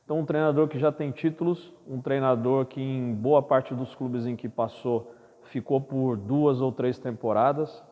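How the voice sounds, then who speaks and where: A man with a Brazilian accent, a football club executive giving measured, formal answers in an interview. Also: medium pitch, fairly even intonation, in a large hall, lo-fi sound. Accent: Brazilian Portuguese.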